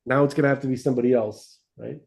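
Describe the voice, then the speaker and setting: A man's voice: medium pitch, steady tone, rapid-fire, creaky, a little mellow. A man co-hosting a podcast, talking through his views in a rambling way, with frequent restarts and tag questions like "right?" and "okay?". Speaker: podcast co-host. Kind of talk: casual religious discussion.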